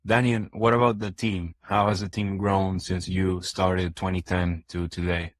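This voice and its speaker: Deep, flat-voiced male podcast host. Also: measured pace, no echo. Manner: brief encouraging responses, direct questions. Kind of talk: interviewer questions.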